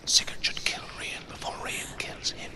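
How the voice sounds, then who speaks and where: An actor playing a solemn storyteller in a video game, telling Norse legends in a hushed, breathy, measured way. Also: male voice, whispered, close to an everyday voice. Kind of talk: hushed myth narration.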